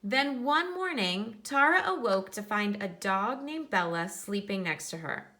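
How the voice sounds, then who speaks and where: A woman's voice: medium pitch, lively intonation, measured pace, bright, forceful tone. A woman teacher reading a nonfiction book aloud for children, instructive and explaining things in simple terms.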